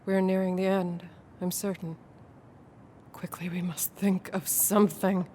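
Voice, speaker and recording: A woman playing a haunted, secretive and gravely urgent intellectual with formal, theatrical diction in a radio horror drama. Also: fairly low pitch, flat delivery, unhurried, warm tone, a little hiss or hum, natural voice. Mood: secretive, weary.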